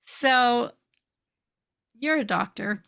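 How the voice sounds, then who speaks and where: A woman's voice: fairly high pitch, very slow, creaky, a bit bright. A woman hosting a conversational interview, affirming and often checking in with short tag questions. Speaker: podcast host.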